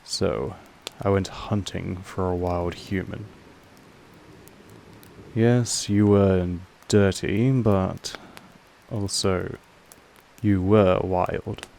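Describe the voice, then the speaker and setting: Guy doing a creaky vampire master, really slow and formal, talks down to you but kinda fond, audio roleplay. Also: sits low, fairly even intonation, a little mellow, a little hiss or hum, exaggerated voice. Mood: firm, teasing. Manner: measured, formal, condescending. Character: possessive, aloof male vampire master, patronizing yet fond. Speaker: voice actor in a roleplay audio drama. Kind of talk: slow intimate monologue addressed to the listener.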